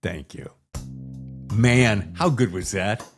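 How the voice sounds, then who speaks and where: A man's voice: lowish voice, slow, creaky, soft background music. A man hosting a podcast in a casual, chatty style, with a folksy, effusive showman's way of talking. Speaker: podcast host.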